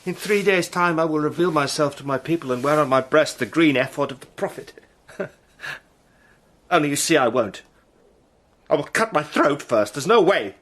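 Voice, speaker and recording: A man's voice: a little high, expressive, moderate pace, a bit bright. A man playing a haunted British adventurer caught up in a plot, speaking intensely and stammering under strain in a radio drama. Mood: despairing. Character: Sandy, haunted British adventurer in disguise, anguished.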